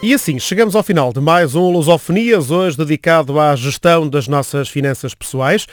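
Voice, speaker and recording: A man with a European Portuguese accent, hosting a radio program and reading listings and closing credits in a measured, formal announcer style. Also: fairly high pitch, no echo, studio quality, faint music behind.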